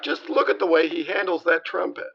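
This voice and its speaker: A lively, gravelly 1930s radio emcee; saucy showbiz patter, muffled lo-fi sound. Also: male voice, high voice, brisk, a little hiss or hum. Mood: smarmy. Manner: showbiz patter full of innuendo. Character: brassy 1930s male radio show host.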